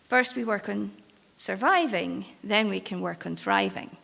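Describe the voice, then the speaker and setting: A woman's voice: medium pitch, a little slow, creaky, a bit bright, heavily acted. A woman playing a world-weary, protective ship's crew member in a live staged drama, wry and reassuring as she coaxes. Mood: wry, patient. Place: live on stage in an arts venue. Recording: reverberant hall, live stage performance.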